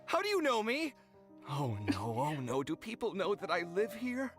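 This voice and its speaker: A proud young architect who gets flustered and embarrassed fast, exclaiming and protesting. His voice jumps up and down a lot, with soft game music behind him. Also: sits high, wildly swooping pitch, heavily acted. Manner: fretful, exclaiming, quick to protest. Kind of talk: video game voice acting.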